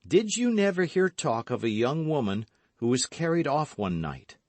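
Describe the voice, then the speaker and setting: A man voicing an eager, brash young gentleman in an audiobook, speaking with emphatic, commanding and affectionate delivery. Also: medium pitch, big pitch swings, normal speed, no room sound, voice pushed up, close to an everyday voice. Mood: earnest, insistent. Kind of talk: audiobook character acting.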